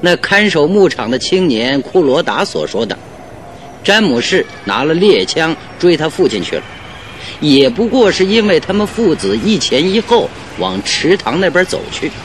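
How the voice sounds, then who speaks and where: A confident private detective in a radio play. He lays out his logic in measured steps and rhetorical questions, with expressive rises and falls over background music. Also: on the high side. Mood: reasoning. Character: calm, analytical male private detective, confident reasoner. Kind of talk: Mandarin radio drama acting.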